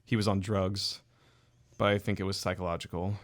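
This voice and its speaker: A man's voice: low-pitched, flat delivery, brisk, lightly acted. A man playing a coolly confident, eerie middle-school boy in an amateur radio drama, speaking matter-of-factly. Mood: ominous, matter-of-fact.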